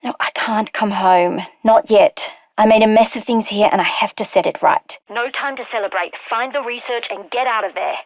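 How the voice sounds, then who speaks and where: An actress playing a determined, guarded research scientist in a video game, with an earnest, clipped delivery. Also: female voice, mid-range voice, normal speed, few pauses, no room sound, muffled recording, lightly acted. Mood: weary, resolute. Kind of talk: scripted game character dialogue.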